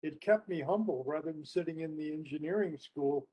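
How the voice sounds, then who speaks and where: A man speaking as a panelist, reflecting off the cuff and telling anecdotes in halting phrases with repeated words. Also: fairly high pitch, slightly rough, muffled recording. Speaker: panelist, experienced change practitioner. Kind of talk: unscripted discussion, telling anecdotes.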